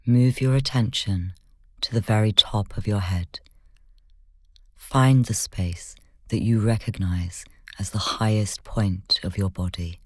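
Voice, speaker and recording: A meditation guide leading a guided session in slow, measured, gentle instruction, soft-spoken with whispery moments. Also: low-pitched, no room sound, studio quality.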